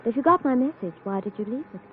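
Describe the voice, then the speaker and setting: An actress playing a cool, knowing undercover contact, composed, in an old radio adventure. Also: female voice, fairly high pitch, expressive, quick pace, natural voice. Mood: cool, businesslike. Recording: muffled, noisy old radio recording.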